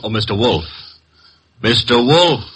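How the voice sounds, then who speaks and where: An actor playing a breezy, wisecracking young detective's assistant in a 1950s radio drama, slangy and brash. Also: male voice, medium pitch, expressive, a bit bright. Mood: coaxing. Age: young adult. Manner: breezy, slangy, fast-thinking. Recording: noisy vintage radio recording.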